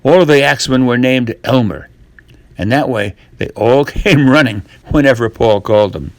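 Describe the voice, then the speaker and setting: An older man reading a storybook tale for children in a deliberate way, with dramatic pauses. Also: mid-range voice, no echo.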